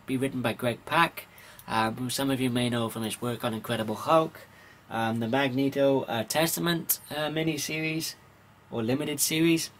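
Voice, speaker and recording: A man from Wales gives an informal vlog-style news rundown in a halting, hesitant way, pausing often with ums. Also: medium pitch, a bit bright, a little hiss or hum. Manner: halting, hesitant delivery with frequent pauses and ums. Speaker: comics vlogger.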